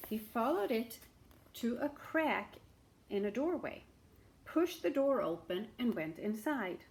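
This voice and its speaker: Woman, storyteller, story read-aloud, lively intonation, unhurried pace, dramatic pauses. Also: a little high, creaky. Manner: dramatic, with pauses and asides to show pictures. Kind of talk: expressive children's story reading.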